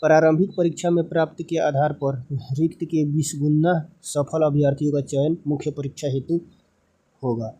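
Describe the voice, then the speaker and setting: A man with a fairly high, mellow voice reads formal text aloud as an explainer. His intonation stays very flat. He moves haltingly, often going back over a phrase and restating it in simpler, informal words. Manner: halting, repetitive reading that re-explains points. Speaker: presenter explaining a recruitment notice.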